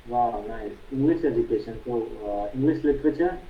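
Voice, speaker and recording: A man's voice: medium pitch, brisk. A man from India with an Indian accent, chatting casually and asking lots of questions on a video call. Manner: inquisitive, asks a string of questions. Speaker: guest on an English practice call. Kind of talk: casual conversation. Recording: distant, echoey, muffled call audio.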